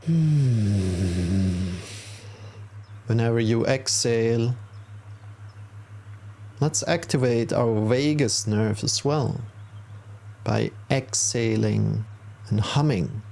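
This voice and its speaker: Man, meditation guide, very slow delivery, creaky low-key fry, soothing, gentle, long pauses. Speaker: yoga nidra instructor.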